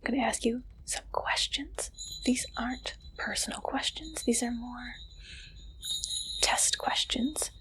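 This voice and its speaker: A woman whispering in an ASMR roleplay as an interviewer, with a playful, teasing manner and soft questioning. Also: on the high side, a little slow, low-quality recording.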